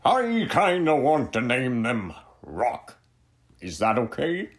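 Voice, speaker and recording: A woman's voice: very deep voice, huge pitch swings, slow, full character voice. A woman playing a casual, easygoing college student at a tabletop game in a comedic audio drama. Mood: laid-back.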